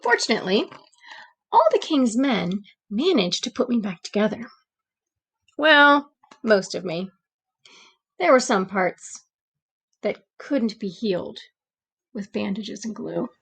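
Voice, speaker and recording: A woman voicing a gentle, anxious but persevering egg who narrates a picture book, with deliberate, expressive pauses. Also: mid-range voice, gravelly, breathy edge, heavily acted. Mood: wistful. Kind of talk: picture book read-aloud.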